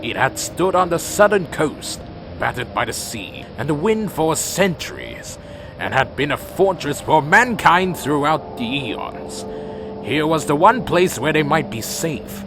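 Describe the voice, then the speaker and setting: A man's voice: on the high side, sing-song, a little slow, music in the background. A man playing a proud, brooding vampire clan leader in an audio drama, speaking in a solemn, imperious, theatrical way. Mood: grave, solemn.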